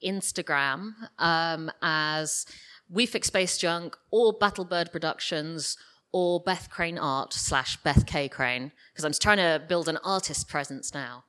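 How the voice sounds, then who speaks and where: A woman's voice: low voice, slow pace, pushed and bright, smooth. A woman speaking as a writer guest in a live interview, explaining things in a casual, rambling way. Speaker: guest, audio drama writer. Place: live podcast festival stage.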